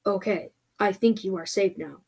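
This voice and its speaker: A woman's voice: medium pitch, normal speed, vocal fry, mellow, slightly rough. A woman voicing a helpful, polite gorilla-horse creature in a fantasy story. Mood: reassuring. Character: helpful gorilla-horse centaur creature, calm, apologetic rescuer.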